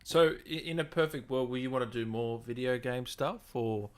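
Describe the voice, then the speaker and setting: A man's voice: medium pitch, moderate pace. A man hosting a casual interview, asking questions and reacting to his guest. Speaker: interview host.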